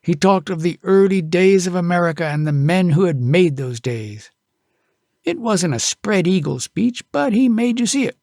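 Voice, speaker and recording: A man reads a tall tale in a folksy, dramatic storyteller's style. His pitch sits a little high and moves around expressively, with a creaky grain in the voice. The sound is dry and intimate. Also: lively intonation, normal speed, darkish tone, dry recording. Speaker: audiobook narrator.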